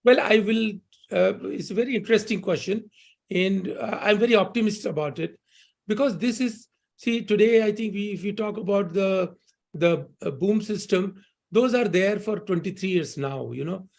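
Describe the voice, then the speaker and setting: A man's voice: sits high, moderate pace, gravelly, darkish tone. Surgeon, male, upper register, upbeat webinar answers peppered with "you know". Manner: fluent, optimistic, repeats "you know" and "I think".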